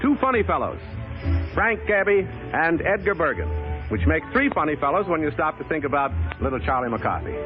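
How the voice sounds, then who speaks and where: Higher-pitched man announcing on 1930s radio. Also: sing-song, a little fast. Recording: muffled 1930s radio broadcast, music behind.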